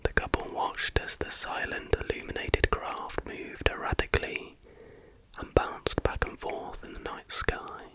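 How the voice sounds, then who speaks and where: A man reading a factual account aloud as an ASMR host, entirely in a soft, unhurried, unvoiced whisper.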